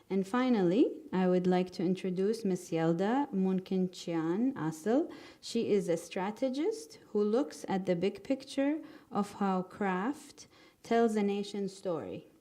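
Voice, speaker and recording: Mellow-voiced woman moderating a conference panel. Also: medium pitch. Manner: halting, many fillers and restarts. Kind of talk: conference moderating, partly scripted. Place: conference hall. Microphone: handheld microphone.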